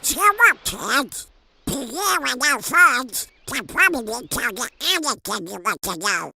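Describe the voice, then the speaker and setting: Woman acting excitable male cartoon duck, creaky, squeaky, bouncing pitch, unhurried. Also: no room sound, exaggerated voice. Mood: reassuring. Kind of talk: comic dub cartoon acting.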